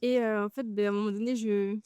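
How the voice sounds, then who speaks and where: A woman in her twenties from French Guiana, a guest speaking in a relaxed, hesitant way with frequent fillers, outdoors on a trail. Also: mid-range voice, quick pace, clean tone, dry recording. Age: 20s. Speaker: guest, medical student. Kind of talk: casual interview.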